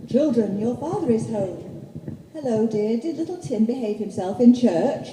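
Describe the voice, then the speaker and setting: A woman playing a poor wife and mother, caring yet sharp-tongued, in a radio play. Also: fairly high pitch, expressive, normal speed, a little hiss or hum, close to an everyday voice. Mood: tender. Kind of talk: amateur stage radio play acting. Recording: echoey hall with long reverb.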